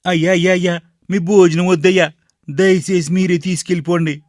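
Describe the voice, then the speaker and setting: Man acting high-pitched humble beggar. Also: fairly even intonation, brisk, a little mellow, no echo, clean studio sound, lightly acted. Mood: pleading. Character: pleading, humble male street beggar. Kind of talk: animated moral story dialogue.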